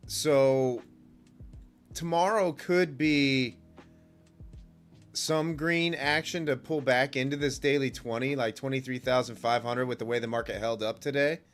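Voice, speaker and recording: A man with a mildly congested, stuffed-up nose and a somewhat low-pitched voice talks as a livestream host. He is laid-back and informal, rambling through market commentary and casual chat, with faint music behind him. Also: a little slow. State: stuffy nose and cough from a cold. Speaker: livestream host, futures day trader.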